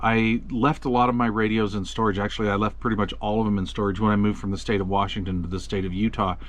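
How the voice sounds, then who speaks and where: A man giving a relaxed, chatty walkthrough of a radio's features, with plenty of ums and uhs. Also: lowish voice, fast talker. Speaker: radio reviewer. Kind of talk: casual product walkthrough.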